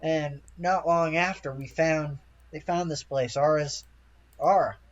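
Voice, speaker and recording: Tabletop game character: proud local townsfolk storyteller male player, folksy, halting delivery, lo-fi sound. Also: fairly high pitch, fairly even intonation, close to an everyday voice. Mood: earnest, reverent. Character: proud rustic local townsman recounting his family's hardship and lore. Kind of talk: improvised tabletop roleplay.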